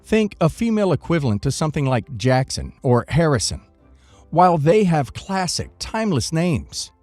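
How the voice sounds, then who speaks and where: A man narrating first-person online stories from a script in an expressive reading manner. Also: on the high side, moderate pace, no echo, faint music behind.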